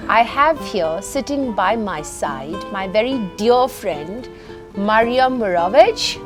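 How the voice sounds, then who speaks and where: A woman's voice: mid-range voice, lively intonation, slow. A woman hosting a talk show, with a playful, sing-song way of talking. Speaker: host. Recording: music playing behind.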